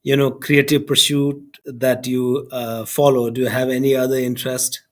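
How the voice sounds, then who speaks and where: A man's voice: mid-range voice, flat delivery, moderate pace. Polite male podcast host. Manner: polite, hesitant questions with short acknowledgements. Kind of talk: casual interview questions.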